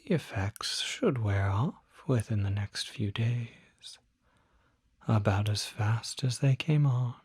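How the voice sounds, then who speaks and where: Performer as courteous demon physician, ASMR roleplay, breathy whisper, slow measured pace, formal diction. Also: medium pitch, darkish tone. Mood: gentle, courteous. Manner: formal, unhurried, precise diction. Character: polite, soft-spoken demon doctor treating curses.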